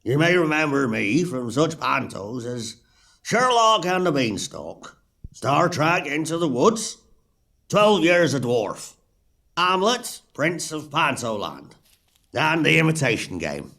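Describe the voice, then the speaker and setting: Comic farmer for audio panto, male actor: hammy, self-promoting, croaky fry, big swooping intonation. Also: a little high, big pitch swings, slow, fairly bright tone, heavily acted. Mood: showy.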